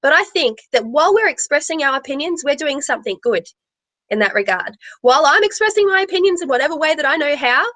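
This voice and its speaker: A woman's voice: sits high, expressive, fast talker, a bit bright. A woman with a big voice, speaking emphatically and repeating phrases for stress on a live tarot panel. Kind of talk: opinionated live panel talk.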